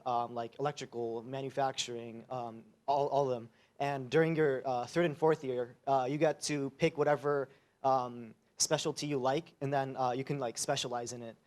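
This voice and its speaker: A young man talks about his university studies as a guest. His mid-pitched voice is easygoing, and his speech is loose and casual, with plenty of ums. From United States. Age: young adult. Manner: casual, relaxed, many ums. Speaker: university student.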